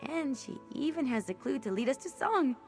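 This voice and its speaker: A woman playing a loyal, warm-hearted young former pickpocket in a video game, speaking in an earnest, heartfelt way. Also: high-pitched, animated, normal speed, over music. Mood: relieved, grateful. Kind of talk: video game character dialogue.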